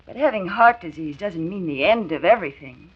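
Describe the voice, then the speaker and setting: An actress playing a kindly young secretary, polite, earnest and sympathetic, in 1940s radio drama style. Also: female voice, lowish voice, lively intonation, brisk, breathy edge. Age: young adult. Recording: dull, noisy 1940s radio transcription.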